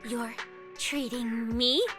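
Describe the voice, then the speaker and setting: A high-pitched, playful young policewoman. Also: slow pace, faint music behind. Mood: excited, boastful. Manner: expressive, teasing, mock-official, with hums and sighs. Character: playful, bossy, easily flustered young female police specialist. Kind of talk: anime-style video game voice acting.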